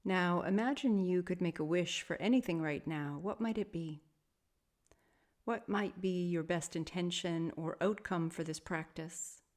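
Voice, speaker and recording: A woman teaching guided sleep meditation, speaking softly and soothingly in measured phrases with long pauses between them. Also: lowish voice. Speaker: yoga nidra meditation teacher.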